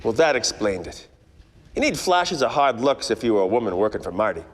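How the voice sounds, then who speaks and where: An actor playing a wry, world-weary 1940s private detective in a parody of old radio noir. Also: male voice, mid-range voice, very animated, a little fast. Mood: brooding narration. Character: wisecracking hard-boiled 1940s male private detective. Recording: echoey stage or hall reverb.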